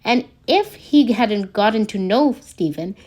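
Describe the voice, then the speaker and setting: A woman reading a scripted story aloud as a narrator, in an expressive storytelling way. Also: medium pitch, measured pace. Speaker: podfic narrator reading fan fiction.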